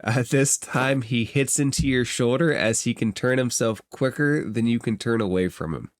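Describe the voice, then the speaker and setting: A man narrating a tabletop fantasy game as game master, describing scenes in improvised, halting phrases and thinking aloud. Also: medium pitch, no room sound.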